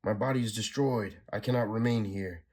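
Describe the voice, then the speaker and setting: A man's voice: on the low side, moderate pace, darkish tone. A man reading a comic aloud, voicing a cold, menacing cosmic villain with pompous, archaic threats.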